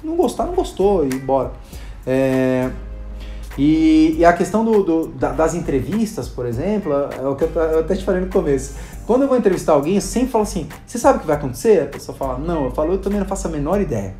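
A man's voice: on the high side, very animated, fast talker, dark tone, faint music behind. Chatty Brazilian man in an echoey room. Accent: Brazilian Portuguese. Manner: chatty, many fillers, acts out quotes. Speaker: interview guest, video creator and hospital clown. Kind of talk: casual conversational storytelling.

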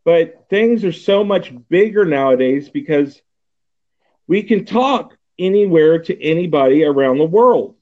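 A man in his forties from Texas, talking in a loose, rambling way full of fillers and restarts. His voice sits a bit high for a man and comes through a thin, tinny line like a phone call. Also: expressive, measured pace. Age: 40s. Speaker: podcast host. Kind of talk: casual chat.

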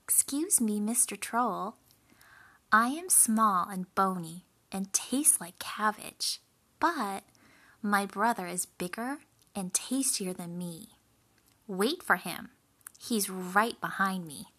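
A woman's voice: medium pitch, lively intonation, slow, pushed and bright, breathy edge, heavily acted. A woman voicing the youngest, smallest goat in a storybook, chatty, polite and clever, with a friendly, emphatic manner. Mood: polite, wily.

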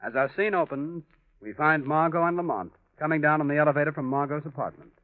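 A man's voice: a little high, quick pace, no echo. A man announcing and narrating a vintage radio drama from a script. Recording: tinny old radio recording with music.

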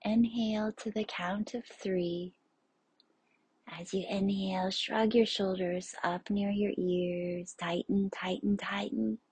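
A woman leading a guided relaxation as a yoga nidra instructor, soothing and unhurried, in a hushed, breathy voice that is often near a whisper. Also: mid-range voice, little pitch movement, dry recording. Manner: soothing, unhurried, spacious pauses.

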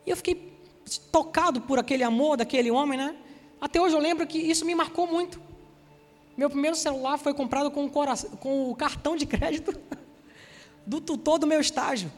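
A man in his forties from Salvador with a Bahian accent, preaching a sermon and telling personal stories, speaking to the congregation directly with lively asides. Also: very high voice, normal speed, long reverb tail, faint music behind. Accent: Bahian Brazilian Portuguese. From Salvador, Bahia, Brazil. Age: 40s.

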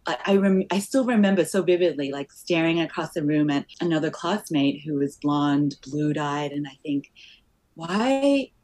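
Low-pitched woman with a muddled Australian accent. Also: expressive, moderate pace, darkish tone. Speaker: podcast guest, company founder. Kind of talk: personal, reflective interview talk.

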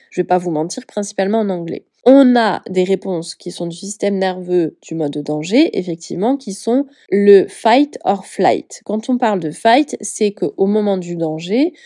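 A woman's voice: on the low side, warm tone, no echo, clean studio sound. A woman with a head cold and a stuffy nose, speaking with a Southern French accent as she hosts a casual solo podcast as a personal coach. She talks in a chatty, hesitant way, often searching for words and correcting herself.

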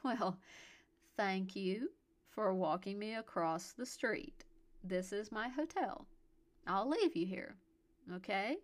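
Woman playing a teasing, indulgent heroine, unhurried. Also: a little high, animated. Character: playful, kindly young woman indulging a drunk admirer.

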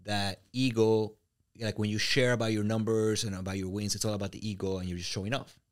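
A man with a Mexican Spanish accent and a somewhat low voice tells stories as a podcast guest. His delivery is animated, full of restarts and fillers, and he often repeats words as he builds up a point. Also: brisk. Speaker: real estate brokerage owner, guest. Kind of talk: casual podcast interview.

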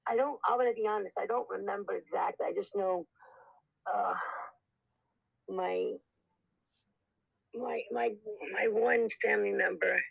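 Older guest with a raspy gravelly voice, husky, rambles and restarts a lot, dull lo-fi sound. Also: mid-range voice, steady tone, measured pace, long pauses, no echo. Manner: rambling, lots of restarts and "you know". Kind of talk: casual storytelling in an interview. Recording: dull lo-fi recording.

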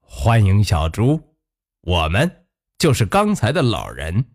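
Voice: sits low, wildly swooping pitch, slow, voice pushed down, exaggerated voice. A storyteller voicing a solemn, stately old wizard who speaks with approval in a children's fairy tale. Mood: solemn, approving.

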